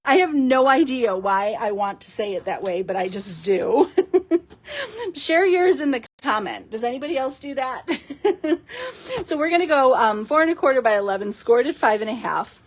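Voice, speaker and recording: A woman with a fairly high, husky, raspy voice, hoarse today. She chats and giggles freely as she walks through a card-making demo, with plenty of rambling asides and fillers. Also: expressive, moderate pace. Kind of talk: casual live craft demo.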